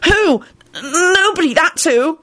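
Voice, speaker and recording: A woman's voice: extremely high pitch, expressive, unhurried, pushed and bright, dry recording, voice pushed up, full character voice. A woman voicing an incredulous, demanding boy in a storybook, with a stuttering delivery.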